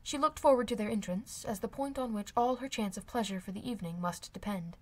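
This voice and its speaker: A woman's voice: fairly high pitch, brisk, darkish tone. A woman reading a classic novel aloud as scripted audiobook narration. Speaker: amateur audiobook reader.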